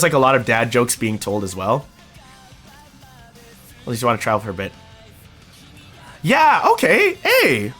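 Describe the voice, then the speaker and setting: A young man streaming, talking casually to his chat, excitable and swearing freely. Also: fairly high pitch.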